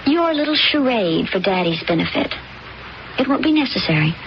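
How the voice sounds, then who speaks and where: A woman's voice: mid-range voice, big pitch swings, moderate pace. Domineering young woman, cold, on a dull hissy old recording. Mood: scornful. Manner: sharp, domineering. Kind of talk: vintage radio drama acting.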